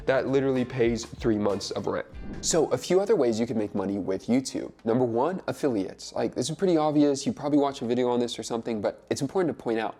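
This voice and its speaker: A man's voice: on the low side, mellow. A man vlogging to camera at home, talking in an upbeat, emphatic way and sounding slightly congested from a cold. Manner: upbeat, chatty, emphatic with frequent "literally". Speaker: YouTube creator and web developer. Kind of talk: casual vlog talk to camera. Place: two-bedroom home in California, filming on the floor.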